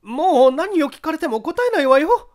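Gossipy middle-aged masseuse acted by a woman reader, high-pitched, lively swoops, colloquial, emphatic, audiobook character. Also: normal speed. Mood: flustered, defensive. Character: gossipy middle-aged masseuse, plain, chatty, easily flustered.

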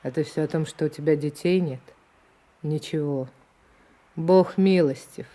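A woman's voice: sits low, unhurried, mellow. An actress playing a chatty older village woman in a radio drama, folksy and emphatic, by turns scolding and motherly. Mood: knowing, confiding.

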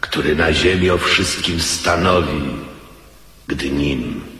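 An actor playing an arrogant, cynical mercenary knight in a radio drama, declaiming in a haughty, commanding manner. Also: male voice, very deep voice, fairly even intonation, takes it slowly, a bit bright, exaggerated voice. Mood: cold authority. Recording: long echoing hall reverb.

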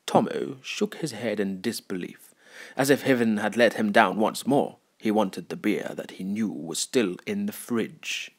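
A man playing a wry, taunting narrator who is a voice in someone's head, delivering deliberate scripted dramatic narration. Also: lowish voice, breathy edge. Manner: wry, deliberate, mocking storytelling.

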